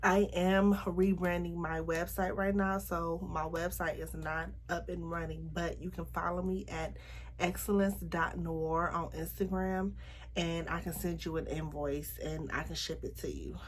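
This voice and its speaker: A young woman talks frankly to her viewers in a relaxed, chatty way. Her voice sits a little low and is touched with rasp, since she is getting over a cold. She stretches words out for emphasis. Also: a little slow, slight background noise. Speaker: makeup artist and vlogger. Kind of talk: casual talk to camera.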